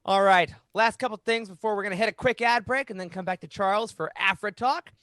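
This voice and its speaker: Man, thirties, high-pitched, podcast host, animated delivery, close mic, dry sound. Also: a little fast, no room sound. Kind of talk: reading aloud and hosting a fan podcast. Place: small home room, indoors. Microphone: close studio microphone on boom arm.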